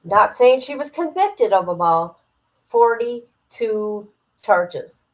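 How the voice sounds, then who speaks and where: A woman's voice: mid-range voice, expressive, slow pace, dull audio. A woman hosting a casual livestream, reading aloud and commenting in a blunt, incredulous, gossipy way. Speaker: livestream host reacting to a document.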